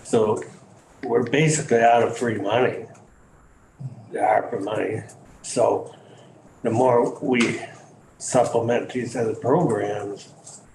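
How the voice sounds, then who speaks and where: A legislator making a plainspoken comment during a committee hearing. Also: male voice, medium pitch, fairly even intonation, takes it slowly, creaky, pauses often, a little mellow. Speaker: committee member. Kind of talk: committee discussion. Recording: echoey hearing room with noise.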